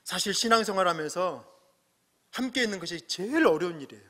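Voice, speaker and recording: A middle-aged man preaching a sermon as pastor, earnest, swelling to emphatic peaks and dropping to soft asides. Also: high voice, lively intonation, quick pace. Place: church sanctuary. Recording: long hall reverb.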